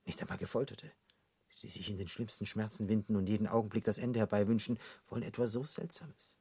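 An actor playing an ancient, world-weary devil in a radio play, measured and bemused, with a dark, husky voice. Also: male voice, fairly low pitch, fairly even intonation, no room sound, exaggerated voice. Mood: bemused, probing. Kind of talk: German radio play acting.

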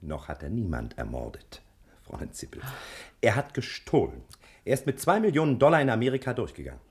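A man playing an ingratiating, secretive private detective who hems and flatters confidentially, in 1960 German radio comedy style. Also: fairly low pitch, a little fast. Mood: conspiratorial. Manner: confidential, flattering, hesitant hemming.

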